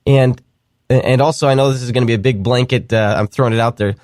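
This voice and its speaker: A man's voice: mid-range voice, fairly even intonation, fast, a little mellow, no room sound, studio quality. A man hosting a talk podcast, speaking casually with many fillers and restarts. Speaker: podcast host. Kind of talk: casual talk show commentary.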